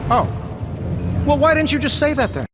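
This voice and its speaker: An actor playing a brash, excitable, wisecracking male hero in a video game, talking in a sarcastic, colloquial way. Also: very high-pitched, normal speed, phone-quality sound, noisy background. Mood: incredulous, sarcastic. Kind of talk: video game character dialogue. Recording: thin band-limited game audio, music behind.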